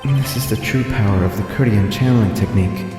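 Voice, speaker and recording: A man voicing an earnest young male defense lawyer's inner thoughts in a video game, measured, with reverb. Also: on the low side. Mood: astonished. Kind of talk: video game voice-over, read live. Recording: heavy long reverb, music behind.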